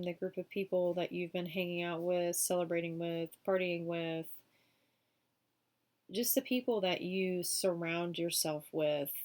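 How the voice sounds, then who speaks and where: A woman with a cold doing a casual weekly tarot reading, chatty and full of fillers. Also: fairly low pitch. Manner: chatty, many fillers like um and you know. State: sick, sniffling. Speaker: tarot reader.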